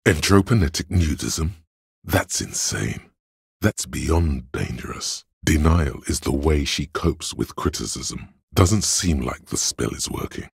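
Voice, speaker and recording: An actor playing a detective's inner voice of logic in a video game, a grave, analytical man with a gravelly rasp and a deliberate, dry, detached delivery. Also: rumbling low, lively intonation, no echo, full character voice. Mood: cool, deducing.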